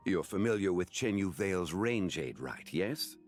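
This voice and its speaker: An actor playing a composed, scholarly gentleman antiquarian with formal, measured, courteous speech in an anime-style game. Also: low-pitched, expressive, over loud music. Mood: calm, explanatory.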